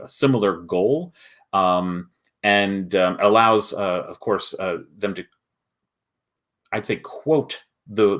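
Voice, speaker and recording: A man hosting a casual chat as a game publisher, explaining his process haltingly and thinking aloud as he goes. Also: low voice, a bit bright. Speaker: board game publisher and show host.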